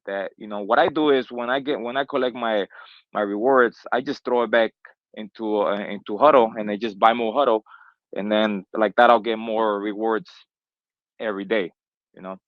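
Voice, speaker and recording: A man's voice: lowish voice, steady tone, moderate pace, no echo. A man from Honduras talking casually on an online call, rambling with many restarts and fillers. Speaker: community member on a crypto call. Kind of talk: casual chat. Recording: dull lo-fi call audio.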